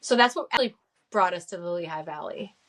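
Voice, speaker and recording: A woman's voice: fairly low pitch, expressive, fast talker, fairly bright tone. A woman in her late forties, a guest in a casual interview, telling her story with frequent laughs, ums and restarts. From upstate New York, raised in Saudi Arabia.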